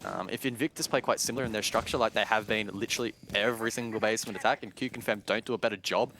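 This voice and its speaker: A man's voice: lowish voice, fairly even intonation, rapid-fire, sharp tone, slight background noise. A man giving live esports commentary as an analyst, explaining play in an analytical way. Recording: music behind the voice. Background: music.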